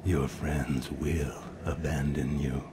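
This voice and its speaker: Extremely deep voice of doom. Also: male voice, steady tone, noisy background, heavily acted. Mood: ominous, menacing. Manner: slow, menacing, doom-laden. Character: eerie male voice of doom in a game.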